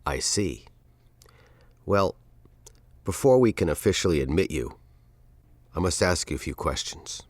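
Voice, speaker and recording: An actor playing a stern, condescending university dean with a formal, interrogating manner in an audio drama. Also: male voice, low voice, expressive, measured pace, pauses often, no echo. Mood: cold, suspicious.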